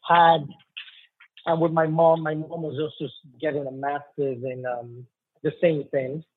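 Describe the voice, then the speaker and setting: A man in his forties from Nigeria, a trial attorney telling his life story in a halting way, with many ums. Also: a little high, flat delivery, no echo. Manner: halting, with frequent ums and restarts. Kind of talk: conversational storytelling. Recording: husky voice on a tinny phone-like line.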